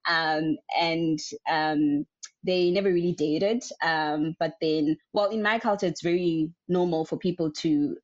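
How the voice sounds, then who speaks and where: A woman's voice: low voice, fairly even intonation, measured pace. A young woman from South Africa, a guest answering interview questions casually, rambling with many ums. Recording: dull lo-fi sound.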